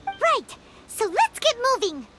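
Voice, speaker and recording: A woman voicing a tiny, childlike, excitable fairy companion in a video game, with a squeaky voice and an exclamatory, wide-eyed manner. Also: slow pace, over music, full character voice. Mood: eager.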